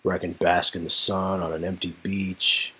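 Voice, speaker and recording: A man's voice: low voice, little pitch movement, normal speed, bright, forceful tone, slightly rough, full character voice. A man acting out an earnest, teasing young man in a romantic game story, encouraging and emphatic. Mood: playful. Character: earnest, teasing young man comforting his love interest.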